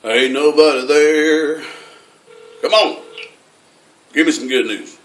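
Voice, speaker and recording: A man's voice: fairly high pitch, slow pace. A man putting on a folksy, rambling redneck with a hesitant drawl for a prank phone call. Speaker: prank caller. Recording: echoey room from far off, noisy.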